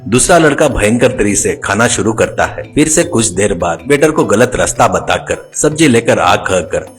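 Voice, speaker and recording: A man's voice: fairly low pitch, expressive, normal speed, faint music behind. A man telling a comic story as its narrator.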